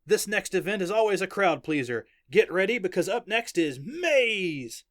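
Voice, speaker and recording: Male reader as showman sports announcer for a story, excited, high and loud, drawn-out emphasis. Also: no room sound, clean studio sound. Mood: hyped up. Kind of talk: arena sports commentary in an audiobook.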